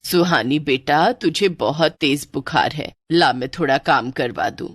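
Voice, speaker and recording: A woman voicing a caring, worried older mother in a cartoon story, gentle and concerned. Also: low-pitched, unhurried, slight background noise, voice pushed down. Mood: tender concern. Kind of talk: cartoon moral story acting.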